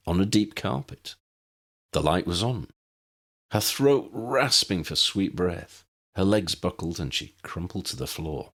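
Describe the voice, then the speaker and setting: Male audiobook narrator, low-pitched, very varied intonation, reading scripted fiction. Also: fairly bright tone.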